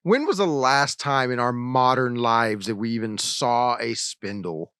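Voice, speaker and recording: A man's voice: medium pitch, animated, measured pace, a bit bright, smooth, no echo, studio quality. A man co-hosting a trivia podcast, chatting casually and reading out questions in an easygoing, good-humored way. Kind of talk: casual chat.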